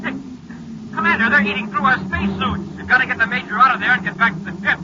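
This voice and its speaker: A man's voice: extremely high pitch, quick pace. An actor playing an eager, deferential young male space cadet who keeps saying sir, in a 1950s radio drama. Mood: alarmed. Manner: anxious, deferential, calls everyone sir. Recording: muffled, hissy old radio transcription.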